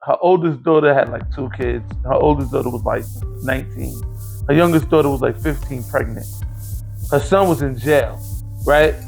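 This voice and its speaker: A man's voice: medium pitch, normal speed, gravelly, darkish tone, faint music behind, low-quality recording. A man in his late forties hosting a podcast, telling a long, rambling, profane story in casual chat. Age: 40s. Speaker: podcast host.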